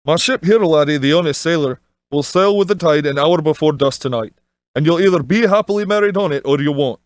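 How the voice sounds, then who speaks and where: A man voicing a crafty, smooth-talking Scottish sea captain in an audio storybook, with wheedling salesman's patter. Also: a little high, fairly quick, no echo, pitched above the performer's own voice, natural voice. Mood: firm. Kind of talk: audiobook character dialogue.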